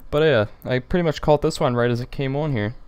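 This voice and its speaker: Rambling teenage boy streamer, deep voice. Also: fast talker, a little mellow, clear, resonant tone. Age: teens. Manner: rambling, repeats phrases, many fillers. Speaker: livestream host. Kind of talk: casual livestream chat reading comments.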